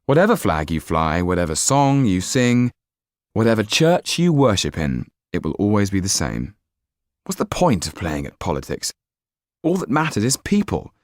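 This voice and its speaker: A man's voice: on the low side, wildly swooping pitch, moderate pace, no echo, studio quality. A man voicing an earnest, passionate English youth of seventeen in an audiobook story. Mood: cynical conviction. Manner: earnest, impassioned. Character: idealistic, passionate seventeen-year-old young man.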